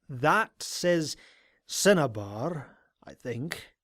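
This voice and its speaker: A man plays an ageing male pony scholar from an audio drama. He talks slowly and deliberately, dry and a little hesitant, like a learned explorer who will not be hurried. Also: fairly high pitch, big pitch swings, vocal fry, no room sound, full character voice. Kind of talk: audio drama character acting.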